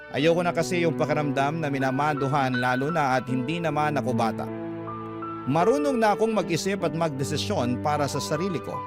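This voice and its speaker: Actor as Filipino young man over loud music. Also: on the high side, natural voice. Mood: resolute. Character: aggrieved younger brother telling his story. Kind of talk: first-person radio drama narration. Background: loud music bed.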